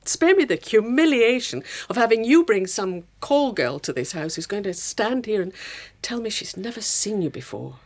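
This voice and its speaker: An actress playing a hurt, sceptical middle-aged English wife in a naturalistic radio drama, weary, cutting and sarcastic. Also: female voice, medium pitch, animated, moderate pace, few pauses. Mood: wounded, pleading.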